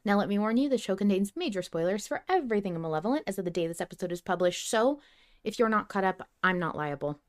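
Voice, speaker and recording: A woman's voice: mid-range voice, animated, fast talker. A woman hosting a podcast in casual fan chat, effusive and given to swearing. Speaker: podcast host.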